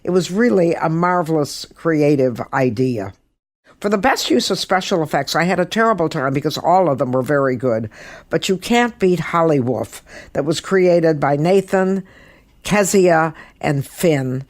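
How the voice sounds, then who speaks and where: A woman's voice: low voice, expressive, measured pace, no room sound. A woman presents a podcast as its host, giving review commentary. She is emphatic and repeats words to stress them. The sound is dry, with little room around the voice.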